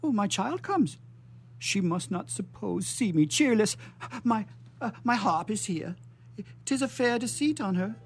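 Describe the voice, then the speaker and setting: An actor playing a gentle, blind old father in a florid, melodramatic period stage drama, with drawn-out exclamations. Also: high voice, animated. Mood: plaintive, anxious. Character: blind, gentle, aged father living in poverty. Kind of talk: melodramatic 19th-century stage acting. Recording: audible background noise and hum.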